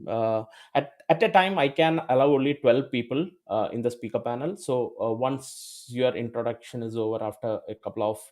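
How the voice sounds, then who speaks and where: A man hosts an online developers meetup, inviting people onto the speaker panel. His voice sits at a middle pitch, and he keeps pausing on fillers as he goes. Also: darkish tone. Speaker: meetup host.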